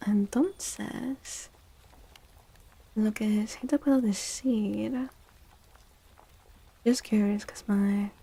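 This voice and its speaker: Casting: female ASMR stream host, soft and breathy, slightly creaky, unhurried, hushed and gentle. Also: mid-range voice, fairly even intonation. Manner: slow, hushed, gentle, often slipping into near-whisper. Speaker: livestream host. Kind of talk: soft-spoken ASMR livestream chat.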